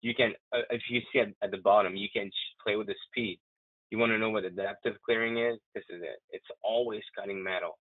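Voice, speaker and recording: An eager man talks through a software demo in a low voice, excited about what he shows and often stumbling into restarts. The sound is thin and phone-like, with a dry, close feel. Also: fairly quick, no room sound. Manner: enthusiastic, chatty, many restarts. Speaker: software demonstrator. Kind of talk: live software demo walkthrough. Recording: tinny phone-like, dry audio.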